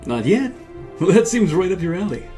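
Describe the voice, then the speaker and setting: A man's voice: high voice, very animated, normal speed, mellow, faint music behind, pitched above the performer's own voice. A man voicing an upbeat, kind young soldier in a fantasy game, earnest and friendly. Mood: upbeat, encouraging.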